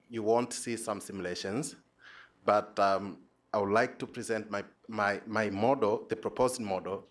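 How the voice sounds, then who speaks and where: A man with a Tanzanian accent giving an academic conference presentation as a PhD student, hesitant and halting, often repeating words. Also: lowish voice.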